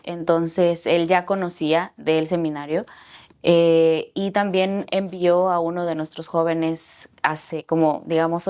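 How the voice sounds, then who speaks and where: A woman in her twenties from Ecuador, answering interview questions in a soft, hesitant way with many pauses and fillers. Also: lowish voice. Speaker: graduating seminary student, guest.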